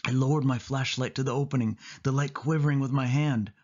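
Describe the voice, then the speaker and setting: A man narrating a suspense story in the first person, with expressive, dramatic delivery. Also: medium pitch, a little fast, lo-fi sound. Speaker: audiobook narrator.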